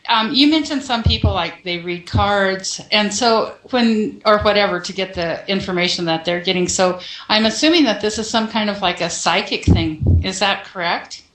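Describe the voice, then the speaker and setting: A woman's voice: mid-range voice, normal speed, few pauses, fairly bright tone. Woman caller with an echoey sound. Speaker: caller with questions. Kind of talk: casual call-in conversation.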